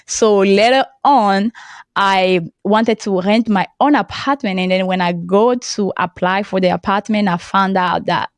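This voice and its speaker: A young woman from Cameroon, a French speaker with a Cameroonian accent, talking as a podcast guest in a halting way and laughing often. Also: medium pitch, steady tone, no room sound, studio quality. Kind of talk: casual interview talk.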